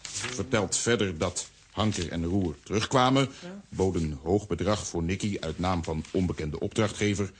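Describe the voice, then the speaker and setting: An actor playing a simple-minded strongman who speaks in gruff, clipped phrases, in a children's radio play. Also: low-pitched, moderate pace, a little hiss or hum, close to an everyday voice. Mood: reading aloud. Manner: clipped short sentences, refers to himself by name. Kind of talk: Dutch-language children's radio play acting.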